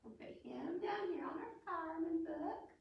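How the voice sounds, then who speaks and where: A woman's voice: very high-pitched, lively intonation, normal speed, creaky, muffled recording, slight background noise. A woman hosting a storytime for young children, explaining things in simple, demonstrative phrases as she talks and reads aloud. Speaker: library storytime host. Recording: echoey room, distant microphone.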